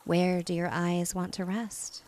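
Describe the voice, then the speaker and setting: Slow, soothing woman guiding relaxation. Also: fairly low pitch, little pitch movement, a little hiss or hum. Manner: soothing, gentle, unhurried with long pauses. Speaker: hypnotist and host of a guided relaxation. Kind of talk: guided relaxation and hypnosis narration.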